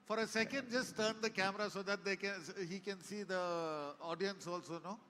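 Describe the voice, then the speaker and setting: Bright high-voiced male host in a hall. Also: steady tone, a little fast. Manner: echoes the guest's words, polite. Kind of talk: live stage interview. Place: event hall with audience.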